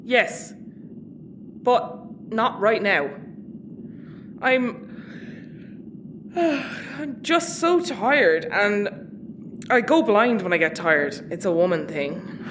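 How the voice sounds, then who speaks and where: A woman's voice: mid-range voice, expressive, very slow, pauses often, noisy background. An actress playing an exasperated, sensible, sardonic spaceship crewwoman in an audio comedy. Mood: coaxing. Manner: dry, reasoning. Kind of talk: audio comedy drama acting.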